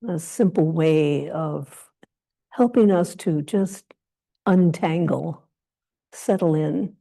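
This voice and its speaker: A woman guides a meditation in a mellow, fairly low voice. She gives gentle instructions in short phrases and leaves long pauses between them. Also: creaky. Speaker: meditation teacher leading a guided meditation.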